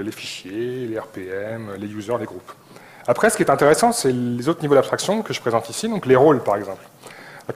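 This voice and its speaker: A man giving an informal technical presentation, explaining step by step with short listed examples and some hesitation. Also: medium pitch. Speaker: conference co-speaker, software developer.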